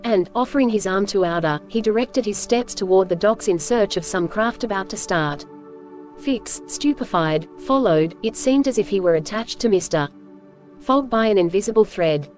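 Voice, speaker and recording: A woman's voice: mid-range voice, animated, normal speed, darkish tone. A woman reading scripted audiobook narration as the storyteller. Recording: faint music behind narration.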